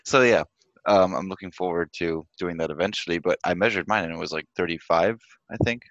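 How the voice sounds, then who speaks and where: A man's voice: low-pitched, a little fast, fairly bright tone, no room sound. A man co-hosting a podcast in casual chat, making dry wry remarks. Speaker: podcast co-host. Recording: muffled lo-fi audio.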